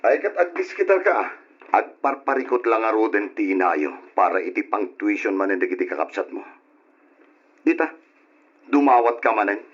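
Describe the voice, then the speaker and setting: A man's voice: lowish voice, very animated, moderate pace, slightly rough, lo-fi sound. A man acting a stern, exasperated family father burdened by money worries in an old Ilocano radio drama. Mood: exasperated scolding.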